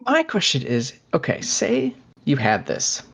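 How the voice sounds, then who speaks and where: A man's voice: medium pitch, wildly swooping pitch, measured pace, gravelly, a bit bright, slightly rough. A man co-hosting a podcast in casual conversation, talking in a hesitant, conversational way. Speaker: podcast co-host.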